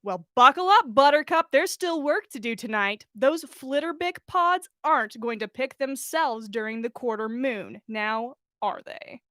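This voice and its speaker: A woman's voice: high-pitched, animated, measured pace, hardly any pauses, a bit bright, dry recording, clean studio sound. A woman voicing a tipsy, weary young male wizard who grumbles and scolds himself in a story read-aloud. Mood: scolding, exasperated.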